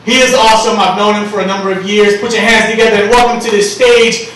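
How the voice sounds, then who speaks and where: A man's voice: high-pitched, little pitch movement, quick pace, noisy background. A man hosting a poetry night, introducing a performer with loose, profane, joking banter from a stage in a bar lounge. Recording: echoey room from far off, faint ambience.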